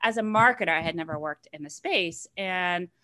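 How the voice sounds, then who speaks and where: Loud, excitable woman guest. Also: fairly low pitch, normal speed. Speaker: podcast guest, marketing executive. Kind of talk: casual interview chat.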